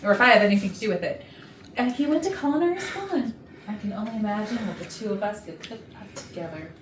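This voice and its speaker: A woman's voice: medium pitch, animated, fast, natural voice. A woman playing a gossipy, catty, flirtatious office worker who confides suggestively to the audience in a comedic stage play. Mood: smitten, flirty. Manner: chatty, suggestive, conspiratorial. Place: indoor rehearsal room. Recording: roomy rehearsal, distant mic, noisy background.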